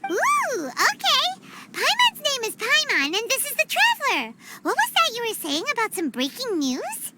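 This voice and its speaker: A woman voicing a tiny, excitable female fairy companion who talks about herself in the third person, in a game cutscene. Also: very high-pitched, normal speed, very noisy, heavily acted. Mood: chatty. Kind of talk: video game cutscene dub.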